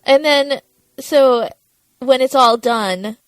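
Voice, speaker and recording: A woman's voice: sits high, slow, dry recording. A woman co-hosting a podcast, casually talking through the plot of a TV episode. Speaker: podcast co-host.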